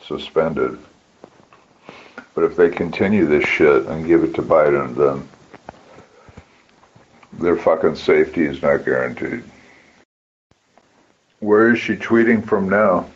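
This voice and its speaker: A man's voice: rumbling low, lively intonation, slow, long pauses, breathy edge. A man ranting informally about politics in a blunt, profane, emphatic way over a push-to-talk voice chat app. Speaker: participant in a political radio chat channel. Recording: muffled walkie-talkie app audio with background noise.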